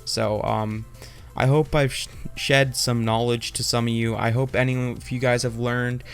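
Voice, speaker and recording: A man's voice: mid-range voice, level tone, measured pace, soft background music. A man hosting a casual, unscripted tutorial, explaining in an informal, rambling and hesitant way. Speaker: tutorial host.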